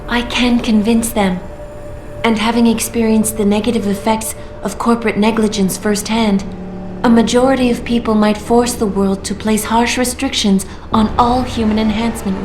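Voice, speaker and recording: A woman voicing a female artificial intelligence in a video game, speaking in a smooth, measured and coolly persuasive way. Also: mid-range voice, natural voice. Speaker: AI guiding the player through a choice. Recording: roomy game audio with clear music.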